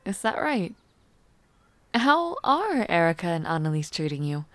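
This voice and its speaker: A woman playing a refined, teasing young palace noblewoman in an intimate audio roleplay, speaking in a poised, formal, softly playful way. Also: fairly low pitch, big pitch swings, a little slow, no room sound. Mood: warm, earnest. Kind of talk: intimate audio roleplay acting, chatting to the listener.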